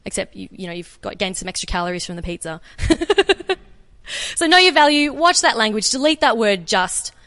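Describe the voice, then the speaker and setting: An Australian woman giving an energetic, chatty conference talk and answering questions. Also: on the high side, normal speed, long reverb tail. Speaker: conference speaker. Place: conference room.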